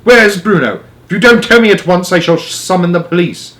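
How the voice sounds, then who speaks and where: A man voicing a furious, blustering English father in a storybook, spluttering and stammering as he shouts. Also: high-pitched, lively intonation, moderate pace, pitched above the performer's own voice. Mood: angry, blustering.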